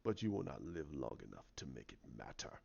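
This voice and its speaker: Low-pitched, man performing an old evil emperor, menacing, drawn-out pauses, sci-fi fan storytelling, muffled recording. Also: sing-song, creaky, darkish tone, heavily acted. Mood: gloating, cruel. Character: sinister old male Sith emperor, gloating and menacing.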